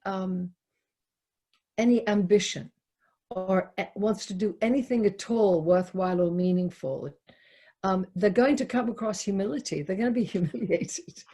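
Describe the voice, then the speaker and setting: A woman's voice: mid-range voice, mellow, breathy edge. A woman leading a reflective discussion with an audience, speaking hesitantly and repeating words and phrases for emphasis.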